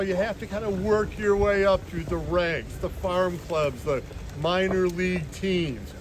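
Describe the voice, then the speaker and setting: Actor as folksy 1940s ballplayer, proud storyteller. Slow, high-pitched male, emphatic; noisy outdoor sound. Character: 1940s minor-league baseball slugger, cocky, folksy, rueful. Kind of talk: first-person historical monologue. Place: outdoors in a cemetery.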